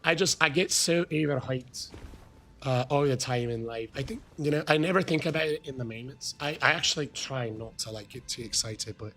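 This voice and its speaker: An Irish man streaming a video game live, chatting with viewers and thanking them. He is hoarse from losing his voice and gets so excited that he breaks into shouts. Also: medium pitch, moderate pace. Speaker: gaming livestreamer. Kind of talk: casual live-stream chat.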